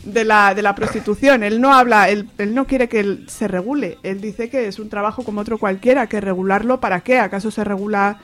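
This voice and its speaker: A woman with a Spanish accent from Spain reviews informally on the radio. Her voice sits at a medium pitch. She repeats phrases and acts out quoted bits as she retells. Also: a little fast, hardly any pauses, fairly bright tone. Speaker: comics reviewer on a radio show.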